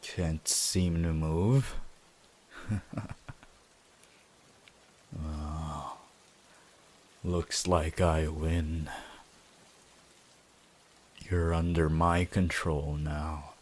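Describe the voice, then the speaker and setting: A man voicing a calm, menacing young anime villain in an ASMR roleplay, coldly controlling, with deliberate, mock-polite phrasing. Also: rumbling low, full character voice. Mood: gloating, hypnotic.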